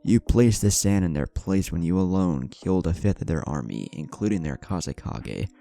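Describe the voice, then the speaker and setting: A man voicing a sly, calculating old council elder with measured, formal speech in a fan story. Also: low-pitched, lively intonation, vocal fry, warm tone. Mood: measured, approving. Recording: background music under voice.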